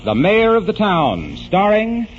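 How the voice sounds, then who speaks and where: A man's voice: high-pitched, wildly swooping pitch, slow pace. A man with an American accent announcing a 1940s radio show in folksy, salesmanlike patter. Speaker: announcer and sponsor spokesman. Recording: muffled, noisy vintage radio recording.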